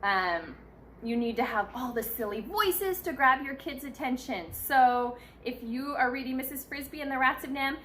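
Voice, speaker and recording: A woman's voice: high-pitched, normal speed, a bit bright, a little hiss or hum. A woman in her thirties, a mother giving casual, encouraging tips in a chatty vlog style. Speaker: mother sharing parenting tips. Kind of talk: casual instructional vlog talk.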